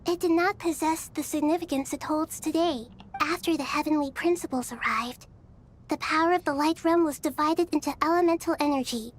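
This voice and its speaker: A woman voicing a gentle, wise, childlike deity in a video game, soft and youthful, speaking formally and earnestly. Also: sits high, a little hiss or hum, natural voice. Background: background music.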